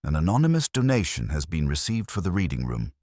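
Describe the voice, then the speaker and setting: A man's voice: sits low, huge pitch swings, fast, a little mellow, no room sound, clean studio sound, heavily acted. A man voicing a deferential, fussy council clerk who speaks carefully and primly.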